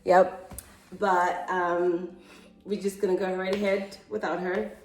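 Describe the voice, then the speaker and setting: Chatty South African woman, low voice. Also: level tone, takes it slowly, echoey room. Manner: chatty and hesitant, with frequent ums. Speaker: mother and family vlogger. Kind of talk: casual family Q&A chat.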